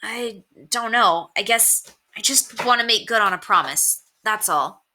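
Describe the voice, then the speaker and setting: A woman voicing an earnest, hesitant boy in a storybook, with halting, casual phrasing. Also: a little high, bright, forceful tone, pitched above the performer's own voice. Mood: earnest, conflicted.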